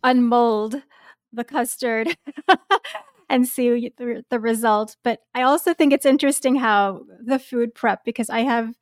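A woman's voice: fairly high pitch, measured pace, dry recording, studio quality. A woman hosting a food podcast, reading an introduction and then chatting eagerly with her guest. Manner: upbeat and eager. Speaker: podcast host. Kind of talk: scripted introduction and casual interview.

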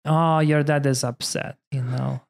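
A Polish-accented priest chats about his life in easygoing English. He talks with plenty of fillers and restarts, at a middle pitch for a man. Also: steady tone, measured pace, gravelly. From Poland. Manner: chatty, many fillers like "you know". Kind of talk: casual conversation.

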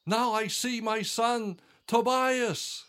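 A man's voice: extremely high pitch, fairly even intonation, takes it slowly, fairly bright tone, clean tone, exaggerated voice. An older man playing a devout, grateful elderly father in a religious audio drama, with fervent, reverent delivery. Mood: reverent, moved.

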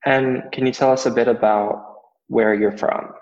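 Male interviewer, relaxed, reverberant and slightly dull sound. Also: mid-range voice, moderate pace. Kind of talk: casual interview questions.

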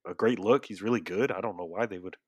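A man's voice: low voice, quick pace, slightly rough, dry recording, lo-fi sound. A man co-hosting a podcast, sounding nasal and sniffly from allergies, easygoing and agreeable. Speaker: podcast co-host. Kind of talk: casual chat.

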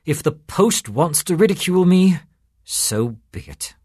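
Man playing a genial priest, very animated pitch. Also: measured pace, breathy edge, no room sound, clean studio sound. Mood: earnest, resolute. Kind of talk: storytelling character voice.

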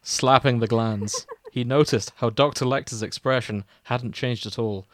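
A man's voice: mid-range voice, fairly even intonation, normal speed, a bit bright, dry recording, clean studio sound. A man hosting a comedy podcast, reading fan fiction aloud as narrator with casual banter, prone to breaking into giggles.

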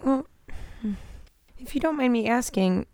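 An actress playing a gentle, sheltered teenage girl in an audio drama, earnest, polite and sincere. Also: a little high, lively intonation, unhurried, no room sound. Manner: soft-hearted, sincere, polite.

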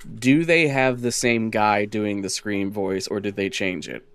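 A man's voice: fairly low pitch, unhurried, fairly bright tone, smooth. A man hosting a podcast in casual banter, joking and swearing freely. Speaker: podcast host.

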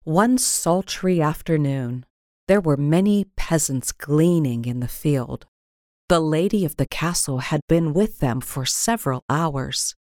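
Slow, low-voiced woman reading a story. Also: animated, a little mellow, no room sound, clean studio sound. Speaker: story reader. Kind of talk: scripted storybook narration.

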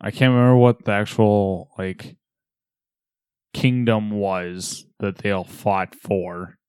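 A man co-hosting a podcast, teasing, his voice slightly raspy and cracking. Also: on the low side, steady tone, takes it slowly, a little mellow, no echo. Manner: teasing, wisecracking. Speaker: podcast co-host. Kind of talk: casual podcast banter.

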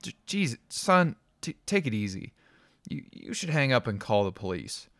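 A man's voice: medium pitch, sing-song, fairly bright tone, no room sound, studio quality. A man voicing a flustered old father who stammers and stops and starts over. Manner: stammering, halting.

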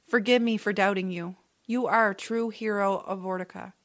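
Actress as noble tribe leader lady, formal n reverent, medium pitch, dry recording. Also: steady tone, moderate pace, no room sound, lightly acted. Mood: solemn. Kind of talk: audiobook drama character acting.

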